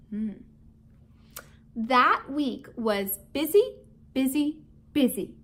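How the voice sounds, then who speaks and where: A woman teacher playfully leading a vocabulary lesson and storybook read-aloud for schoolchildren, addressing her listeners directly. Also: sits high. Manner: playful, addresses listeners with questions, chuckles often.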